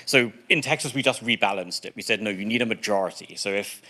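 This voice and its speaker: A man's voice: lowish voice, quick pace, bright, forceful tone. A man from England with an English accent, giving articulate, explanatory testimony to a state legislative committee with a touch of self-deprecating humor. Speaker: policy witness. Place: state committee hearing room.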